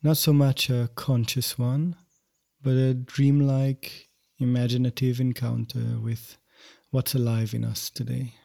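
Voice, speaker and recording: A man teaching yoga nidra, guiding a meditation in gentle, measured, unhurried phrases. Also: medium pitch, flat delivery, vocal fry. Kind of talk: guided meditation instruction.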